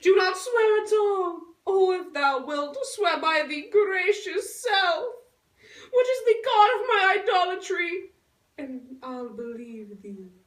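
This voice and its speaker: A woman playing a lovestruck, earnest young noble girl in a puppet show, speaking Shakespearean verse in a theatrical, drawn-out way. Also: very high-pitched, animated, exaggerated voice. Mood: pleading. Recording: roomy echo, distant microphone.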